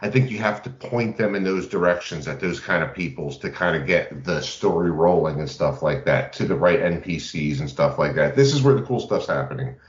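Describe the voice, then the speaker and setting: A man's voice: low voice, expressive, a little fast, few pauses. A man co-hosting a casual podcast about games, talking in a loose, informal, rambling way. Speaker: podcast co-host. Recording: roomy, dull lo-fi audio.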